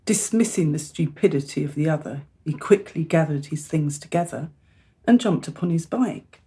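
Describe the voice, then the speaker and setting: A woman acting an earnest, exasperated middle-aged mother in a radio play, speaking in an insistent, measured way. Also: sits low, animated, dark tone, husky, heavily acted. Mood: solemn storytelling.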